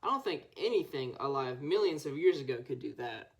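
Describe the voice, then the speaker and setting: Put-upon schoolboy voiced by a young woman, animated. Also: extremely low pitch, a little fast, creaky, dull audio, heavily acted. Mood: defensive.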